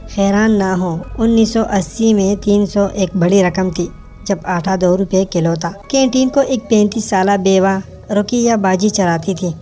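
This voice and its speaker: A woman's voice: medium pitch, mellow. A woman reading a scripted first-person narration as a storyteller.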